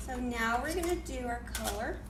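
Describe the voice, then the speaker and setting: A woman's voice: on the high side, moderate pace, music in the background. A woman leading a children's library storytime and reading aloud, talking in simple, encouraging, teacherly phrases. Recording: roomy, distant mic, faint noise.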